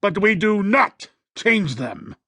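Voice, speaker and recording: A man's voice: high voice, very animated, slow pace, a bit bright, no echo, heavily acted. A man playing a stern, fussy scenic manager in a full-cast audiobook, emphatic and pedantic. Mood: indignant.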